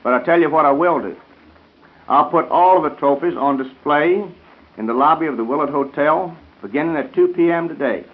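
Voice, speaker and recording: A man's voice: on the high side, fairly quick. Formal earnest guy doing a 1930s radio promo talk, trips on words a bit, really tinny old recording. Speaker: guest speaker promoting a boat regatta. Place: radio studio. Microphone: studio broadcast microphone.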